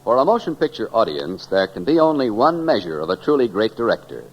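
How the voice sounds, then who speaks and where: A man's voice: lowish voice, sing-song, brisk, muffled recording, faint music behind. A man with an American accent announcing a radio program in a grand, formal 1940s style.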